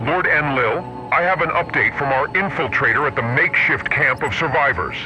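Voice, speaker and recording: A man's voice: medium pitch, expressive, moderate pace, music in the background, voice pushed up, rough recording. A man playing an obedient spy henchman in a sci-fi audio drama, reporting deferentially to his lord through a radio communicator. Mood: dutiful. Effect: radio communicator filter.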